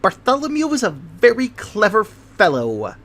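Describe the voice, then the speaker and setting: A man voicing an excitable, fragile hypochondriac gentleman who chatters eagerly in a high voice, in a storybook reading. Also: measured pace, exaggerated voice. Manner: high voice rising above the noise, eager chatter.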